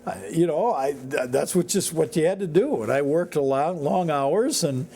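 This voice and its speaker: A man in his seventies with an American accent, a teacher reminiscing casually in a folksy, halting way with short phrases. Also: a little high, animated, gravelly, warm tone. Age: 70s. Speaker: high school teacher.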